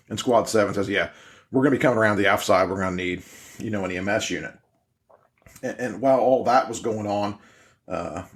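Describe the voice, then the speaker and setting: Guy with a lowish voice walking thru a review, casual, explains stuff like an instructor. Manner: informal, explanatory, often tags points with "right?". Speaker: podcast host and incident command instructor. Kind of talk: casual spoken analysis of fireground radio traffic.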